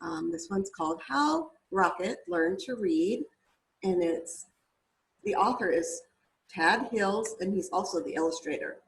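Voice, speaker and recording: A woman reading a picture book aloud to young children, expressively, with casual asides to her listeners. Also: mid-range voice. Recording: roomy, echoing indoor sound.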